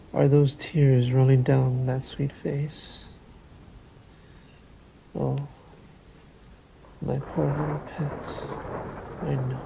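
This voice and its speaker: A man's voice: warm tone, slight background noise, rough recording. A man voicing a sadistic, possessive vampire master in an ASMR roleplay, coaxing and commanding in a condescending murmur that often sinks into a whisper. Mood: falsely soothing. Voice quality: low gravelly murmur, often sinking into whisper. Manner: very slow, deliberate, coaxing and commanding.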